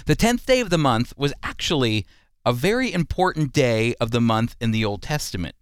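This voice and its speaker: A man's voice: mid-range voice, big pitch swings, moderate pace, smooth, no room sound, studio quality. A man hosting a radio program, teaching the Bible and commenting in an earnest, emphatic, explanatory way.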